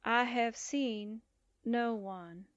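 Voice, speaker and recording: A woman's voice: a little high, highs cut off. An actress playing a hypnotized young newspaperwoman in a 1940s-style radio drama, speaking blankly and obediently as if in a trance. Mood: blank, detached. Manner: very slow, blank, trance-like, echoing commands.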